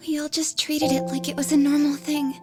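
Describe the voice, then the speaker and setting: A woman's voice: high-pitched, little pitch movement, a little fast, close to an everyday voice. An actress playing a shy, earnest teenage schoolgirl who has been bullied, in an English game dub. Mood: hesitant, wondering. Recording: music behind the voice. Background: instrumental background music.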